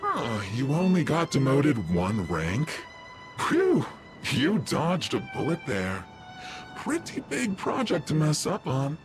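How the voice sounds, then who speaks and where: An actor playing a smug, taunting executive speaking through a voice changer in a video game, mocking and drawling. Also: male voice, fairly high pitch, wildly swooping pitch, slow, music in the background, full character voice.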